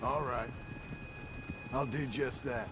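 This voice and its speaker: An actor playing a wary, terse rancher man in a video game cutscene, speaking in a slow, laconic way. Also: mid-range voice, steady tone, loud music behind the voice, lightly acted. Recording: game audio under loud ambient noise.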